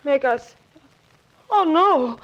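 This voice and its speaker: Cool, anxious femme of vintage radio drama. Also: female voice, high voice, animated, extremely slow, dry recording, heavily acted. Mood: shocked. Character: anxious, cool, secretive woman being blackmailed, pleading for help. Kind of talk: 1940s radio drama acting. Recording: dull vintage 1940s radio recording, faint music.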